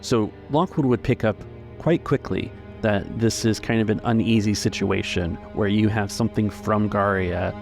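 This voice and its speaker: A man acts as game master with a low voice full of gravelly fry. He lays out the story in a measured, explanatory way. Music plays clearly underneath him. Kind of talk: narrating a tabletop roleplaying game. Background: background music.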